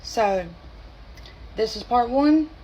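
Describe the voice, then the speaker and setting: Woman, strained choppy voice, halting bursts, blunt, casual storytelling vlog. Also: on the high side, lively intonation, dark tone, rough recording. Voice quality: strained, choppy voice from spasmodic dysphonia. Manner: blunt, indignant, talks in short halting bursts. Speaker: vlogger. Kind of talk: casual personal storytelling to camera.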